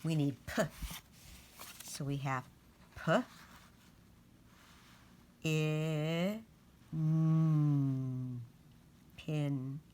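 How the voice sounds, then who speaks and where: Female early-years teacher, low register, unhurried, deliberate enunciation for read-aloud and phonics, slight background noise. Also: steady tone, warm tone. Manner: sounds out letters and words deliberately. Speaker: teacher reading to young children.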